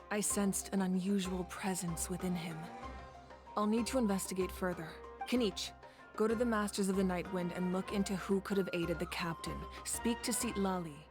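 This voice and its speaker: A composed, authoritative female leader of a nation, acted by a woman in a video game. Her voice sits low and she speaks in a measured way, with loud music playing behind her. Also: natural voice. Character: calm, commanding adult female ruler of a nation.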